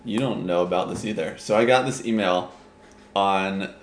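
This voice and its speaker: A lower-pitched man hosts a relaxed chat, telling a wandering story with easy laughter. The recording is echoey, as if in a bare room. A faint hum sits behind him. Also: moderate pace. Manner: rambling storyteller, laughs easily. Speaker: podcast host. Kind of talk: casual podcast chat.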